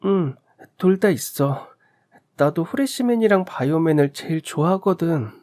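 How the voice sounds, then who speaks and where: A shy, lonely young boy who speaks a little high and careful, as a male narrator acts him out. He sounds hesitant, reaching out to a new friend in a storybook telling. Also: animated, normal speed, a little mellow, close to an everyday voice.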